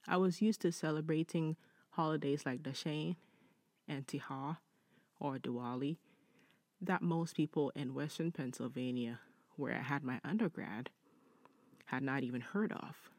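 Male podcast host, creaky voice, chatty and conversational, dry close sound. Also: on the high side, darkish tone, no echo. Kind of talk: casual chatty hosting, reading out listener stories.

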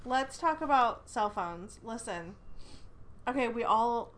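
A woman's voice: fairly high pitch, lively intonation, takes it slowly, fairly bright tone. A young woman hosting a podcast with her husband, chatty and laughing easily as she tells stories. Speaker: podcast host. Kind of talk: casual banter.

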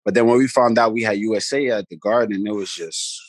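A low-voiced man in his thirties tells stories in a relaxed, rambling way, full of fillers and quoted bits of other people. The sound is dry and close, with no room behind him. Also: a little fast, no room sound. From New York. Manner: laid-back, loose storytelling with many fillers and quoted voices. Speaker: basketball player guest. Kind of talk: casual storytelling.

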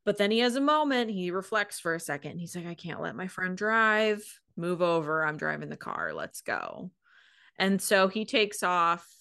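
Woman podcaster chatting in a lowish voice. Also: sing-song, moderate pace, sharp tone, no room sound, studio quality. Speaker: podcast co-host. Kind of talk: casual chat, retelling and commentary.

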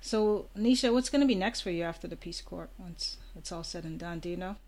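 A woman co-hosting an interview, asking questions in an expressive, friendly way. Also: fairly low pitch, a little fast. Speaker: interview co-host.

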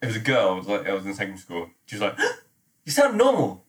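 Teasing young man, very lively pitch. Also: quick pace. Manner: teasing, laughs often. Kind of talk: casual chat. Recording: echoey room, faint noise.

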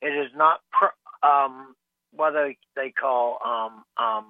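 A man calling in to a talk radio show, speaking haltingly with many pauses and ums. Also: medium pitch, expressive, vocal fry, no room sound. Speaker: caller. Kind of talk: phone-in call to talk radio. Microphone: telephone. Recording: tinny phone line.

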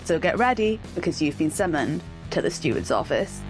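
A woman hosting a solo sports podcast, enthusiastic and emphatic, her voice slightly rough from a cough and cold. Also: low voice, very animated, normal speed, over loud music, rough recording. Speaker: podcast host.

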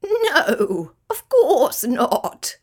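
A woman's voice: high voice, huge pitch swings, slow pace, pitched above the performer's own voice, exaggerated voice. A woman voicing a teasing, know-it-all male kookaburra who chortles through his words in a children's storybook. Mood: amused, explaining.

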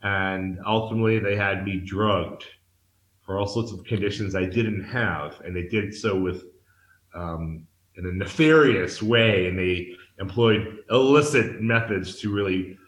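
A man's voice: sits low, measured pace. A man in his forties from Massachusetts, candidly telling his life story as an interview guest, earnest and searching, often restarting his sentences. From Massachusetts, United States. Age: 40s. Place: a room in Mexico. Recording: echoey room.

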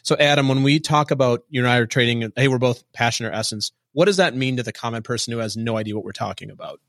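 A man's voice: medium pitch, quick pace, a bit bright, no room sound. Guy hosting a podcast, keeps nudging his guest w questions, dry close mic. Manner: guides the guest with repeated prompts. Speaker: podcast host. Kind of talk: interview conversation.

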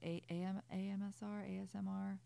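Quiet woman with a raspy edge. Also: flat delivery, brisk, no echo. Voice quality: high-pitched with a low raspiness. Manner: quiet, soft-spoken. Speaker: guest. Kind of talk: casual chat.

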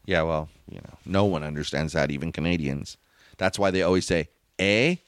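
Man, lower register, podcast co-host; emphatic, argumentative, dry close sound. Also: moderate pace, clear, resonant tone, no room sound. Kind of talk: casual opinionated chat.

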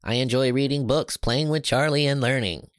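A man voicing a polite, calm robot built by a boy, speaking in flat, literal, formal phrases. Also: mid-range voice, normal speed, dry recording, clean studio sound, voice pushed up, lightly acted.

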